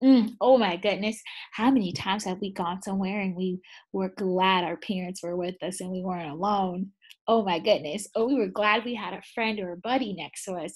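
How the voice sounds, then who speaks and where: A woman teacher reading a picture book aloud to second graders. She is animated and keeps asking the children questions. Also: mid-range voice, moderate pace, husky. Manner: animated, asks the class many questions. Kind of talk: read-aloud lesson for children.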